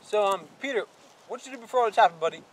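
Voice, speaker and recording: A man's voice: sits high, expressive, racing, low-quality recording. A young man rehearsing film dialogue in a hesitant, laid-back way, recorded outdoors on a camera mic. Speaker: amateur actor in film outtakes. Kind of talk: rehearsing scripted dialogue, casual. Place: outdoors in woods.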